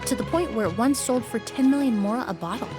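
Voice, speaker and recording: A woman voicing a composed young perfumer in a video game, speaking in a measured, explanatory way. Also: fairly high pitch, lightly acted. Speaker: perfumer with medical knowledge. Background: faint game music.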